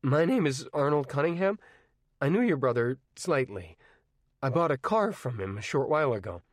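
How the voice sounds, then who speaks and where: A man's voice: mid-range voice, sing-song, moderate pace, no echo, clean studio sound, pitched above the performer's own voice. A man voicing a polite, stubborn teenage boy who pleads insistently.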